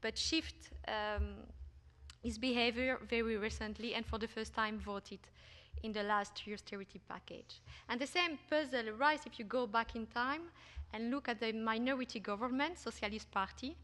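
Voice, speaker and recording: A woman gives a conference talk on her research. Her voice sits a little high, and she pauses often on fillers and restarts her sentences. The sound rings with the long reverb of a large hall. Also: fairly bright tone. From Belgium. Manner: hesitant, frequent "uh" fillers and restarts. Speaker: researcher presenting a paper.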